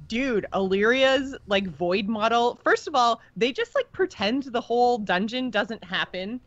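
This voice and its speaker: A woman's voice: on the high side, lively intonation, unhurried, no echo, dull audio. A woman co-hosting a podcast in casual chat, talking enthusiastically with plenty of slang. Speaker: podcast co-host. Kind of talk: casual chat about game lore.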